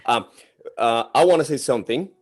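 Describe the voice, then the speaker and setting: A man from Mexico City with a Mexican Spanish accent hosting an interview in halting English, often restarting his sentences. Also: mid-range voice. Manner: halting, with many restarts and filler sounds. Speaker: interview host. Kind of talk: casual interview.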